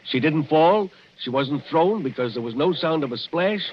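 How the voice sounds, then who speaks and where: A man's voice: fairly high pitch, expressive, normal speed, close to an everyday voice. An actor playing a plain-spoken, cautionary old local man in a folksy 1940s radio drama style. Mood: ominous, emphatic. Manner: folksy, emphatic storyteller. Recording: muffled, hissy old radio recording.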